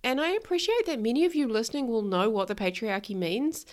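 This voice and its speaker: A woman's voice: on the high side, animated, fast. A woman with a New Zealand accent hosting a solo podcast, talking frankly and outspokenly, her voice a little nasal from being ill. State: nasal from illness. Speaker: podcast host, life coach. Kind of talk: casual solo podcast monologue.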